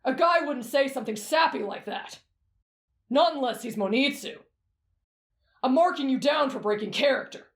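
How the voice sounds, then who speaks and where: Woman plays a cocky, blunt wild boy fighter, lively delivery, roomy fan audio. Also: sits high, moderate pace, long pauses.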